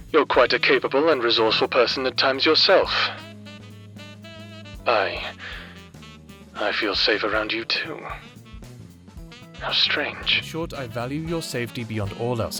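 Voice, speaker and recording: A man playing an earnest, reserved private investigator in an anime roleplay audio drama, speaking in measured, formal phrases. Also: mid-range voice, lively intonation, sharp tone, faint music behind. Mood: tender, earnest. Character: earnest male private investigator, reserved, secretly fond of client.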